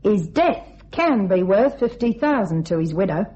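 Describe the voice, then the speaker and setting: A woman's voice: medium pitch, sing-song, warm tone, slight background noise, close to an everyday voice. A woman playing a cold, scheming young widow in a radio thriller, mocking and coolly commanding. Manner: taunting, coolly controlled, deliberate. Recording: dull, band-limited radio drama recording.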